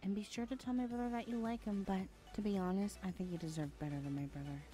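A woman's voice: mid-range voice, steady tone, quick pace, dark tone, soft background music, close to an everyday voice. A woman playing a sassy, blunt twenty-one-year-old best friend in a casual, slangy audio roleplay, often sighing. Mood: frank, supportive. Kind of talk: conversational ASMR roleplay acting.

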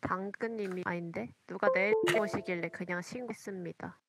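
A woman player in a game voice chat, explaining what she saw in a calm, measured way. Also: medium pitch, heavy background noise.

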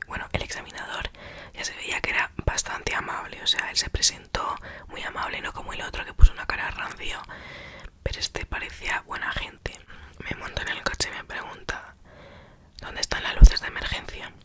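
A woman with an accent from Spain whispers a casual ASMR storytime in a breathy, unvoiced voice, retelling it colloquially and acting out the dialogue. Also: pushed and bright.

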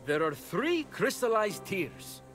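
High, bright, fussy young god. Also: male voice, very animated, slow, soft background music, full character voice. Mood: urgent, sassy. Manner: fretful, snarky, theatrical. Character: fussy, sassy young male messenger god. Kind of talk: video game cutscene acting.

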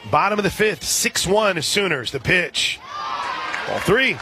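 A man doing live radio play-by-play of a softball game in a conversational way, with folksy asides. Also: sits high, expressive, a little slow. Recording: crowd noise and music behind. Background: ballpark crowd and music.

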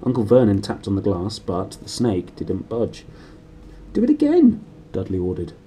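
A man in his thirties with a British accent, reading a storybook aloud in an animated way. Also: low-pitched, normal speed, slight background noise. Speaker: book reader. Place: small room indoors.

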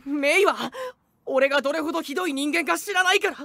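Bright-voiced emotional teenage schoolboy. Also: female voice, high-pitched, fairly quick, creaky, dry recording. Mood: anguished. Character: teenage schoolboy, melodramatic, self-loathing, boyish. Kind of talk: Japanese anime audio drama acting.

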